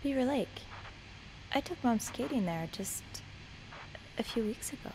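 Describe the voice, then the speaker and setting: A woman's voice: a little high, lively intonation, measured pace. Young female hotel maid, curious and anxious, natural mystery-game dialogue, hesitant delivery, faint noise behind. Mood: musing.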